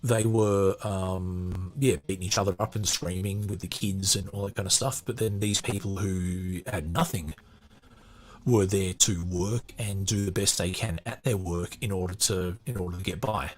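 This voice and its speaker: A man's voice: sits low, fairly even intonation, moderate pace. A man hosting a podcast, reading a book aloud and giving casual commentary on it. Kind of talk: audiobook narration and casual commentary.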